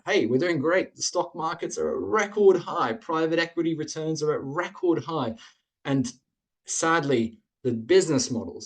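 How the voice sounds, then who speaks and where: A man's voice: mid-range voice, husky. A man who grew up in Australia, presenting slides on a webinar over a computer mic, explaining points in an earnest way and stacking them in lists.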